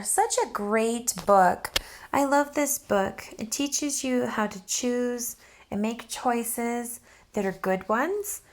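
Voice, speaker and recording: A woman narrating for children in an expressive, deliberate and instructive read-aloud style. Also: medium pitch. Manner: deliberate, instructive, addressing young listeners.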